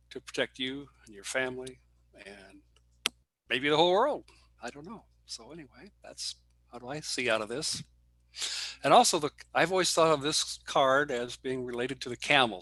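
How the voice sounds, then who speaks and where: A man with a medium-pitched voice gives an informal reading over a video call. He sounds tentative and musing, with very little room echo around him. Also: pushed and bright. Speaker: tarot reader at an online gathering. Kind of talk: informal explanation.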